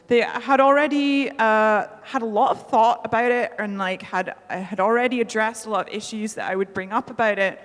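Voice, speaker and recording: A woman's voice: mid-range voice, a bit bright. A woman in her thirties talks casually on a festival panel, mocking herself and filling her speech with likes and ums. Her voice sits in the middle of her range. The sound carries a long reverb from a large hall. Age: 30s. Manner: chatty, self-mocking, many fillers.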